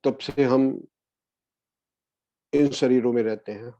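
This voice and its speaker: A man guiding a meditation as a spiritual discourse, speaking in a measured way with long pauses. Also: mid-range voice, steady tone, mellow, no echo. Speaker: meditation guide. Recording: dull, lo-fi, dry audio.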